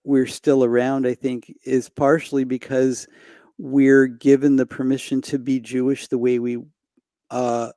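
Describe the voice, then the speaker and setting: A middle-aged man from Los Angeles talks as a guest on a video call, using a headset and desk mic. His voice is mellow and dry, with no room sound. He speaks slowly, with frequent pauses and restarts. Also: mid-range voice, little pitch movement, dry recording. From Los Angeles, United States. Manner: hesitant, many fillers and restarts. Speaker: singer guest. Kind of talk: reflective conversation. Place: home room indoors.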